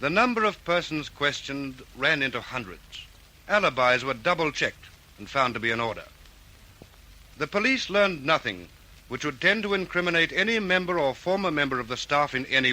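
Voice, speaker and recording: A man narrating an old radio crime serial, in a crisp, formal, dramatic style. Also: mid-range voice, lively intonation, moderate pace, bright, forceful tone, rough recording. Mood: earnest, suspenseful. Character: earnest male crime-case narrator.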